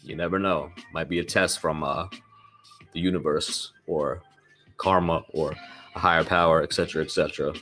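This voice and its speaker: A man's voice: extremely low pitch, fairly even intonation, normal speed, fairly bright tone, soft background music. A man hosting his own podcast in loose, rambling casual talk, swearing freely and sounding slightly nasal from a lingering cold. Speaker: podcast host.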